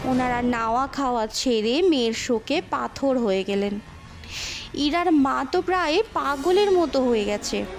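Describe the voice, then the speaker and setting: A woman narrating a scripted ghost story in an expressive, dramatic storytelling manner. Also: high voice, measured pace, soft background music.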